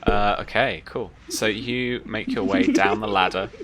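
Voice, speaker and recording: A woman's voice: a bass voice, steady tone, measured pace, fairly bright tone, a little hiss or hum. A woman narrating a tabletop game as game master in a casual, conversational way.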